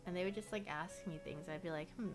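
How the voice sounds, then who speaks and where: A woman's voice: on the low side, fast talker, over music. A young woman whose first language is Korean, livestreaming casual chat with viewers. She rambles, fills her talk with "like," and laughs often.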